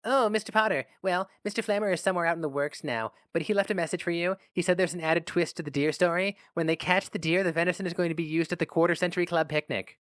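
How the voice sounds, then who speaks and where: A man's voice: high voice, quick pace, hardly any pauses, fairly bright tone, no echo, studio quality, pitched above the performer's own voice. A man voicing a helpful, chatty female office secretary taking a call in a story reading. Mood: chatty, efficient.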